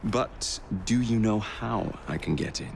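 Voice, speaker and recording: An actor playing a cocky, teasing young Victorian adventurer in a game, breezy and persuasive, with a London English accent. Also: male voice, lowish voice, animated, unhurried, heavily acted. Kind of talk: video game cutscene acting. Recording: clearly audible ambient noise.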